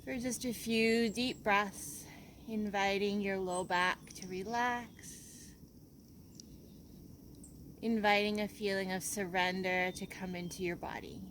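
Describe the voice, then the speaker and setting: A woman leads a guided relaxation as a yoga teacher. She speaks very slowly and gently, leaving soothing pauses between instructions. Her mid-pitched voice sits over faint background noise. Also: steady tone.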